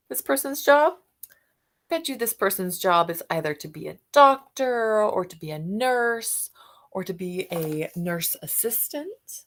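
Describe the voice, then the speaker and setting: A woman's voice: fairly high pitch, slight background noise. A woman talking as a teacher to children, explaining off the cuff, guessing aloud and putting questions to her listeners. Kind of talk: children's read-aloud discussion, unscripted explaining.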